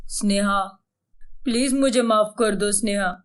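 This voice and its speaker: A woman's voice: medium pitch, measured pace, lightly acted. A woman playing an emotional husband in a dramatized story, speaking in an emphatic, melodramatic way. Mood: pleading, remorseful. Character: jealous husband, first scornful, then pleading and remorseful.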